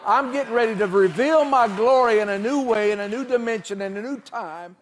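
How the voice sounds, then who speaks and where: A man's voice: very high voice, fairly quick, slight background noise. A middle-aged man, a pastor, preaching in a declamatory, prophetic way as a guest on a television panel. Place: large TV studio set with audience.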